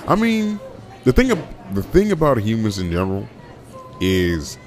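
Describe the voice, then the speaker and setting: A young man with a deep voice hosting a casual solo podcast, rambling with many restarts and fillers. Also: wildly swooping pitch, a little slow, noisy background. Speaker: podcast host.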